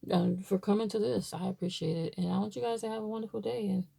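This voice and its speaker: Gravelly-voiced woman chatting about tarot decks. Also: mid-range voice, fairly even intonation, fast talker, dark tone. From Baltimore, USA. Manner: chatty, rambling with many fillers; shows items to camera while talking. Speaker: tarot deck reviewer. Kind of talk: casual chat to camera.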